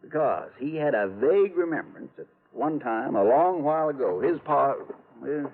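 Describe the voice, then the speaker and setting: An actor playing a folksy, excitable small-town insurance man in an old-time radio drama. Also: mid-range voice, moderate pace. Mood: explanatory. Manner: folksy, colloquial, emphatic. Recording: tinny, noisy vintage radio recording.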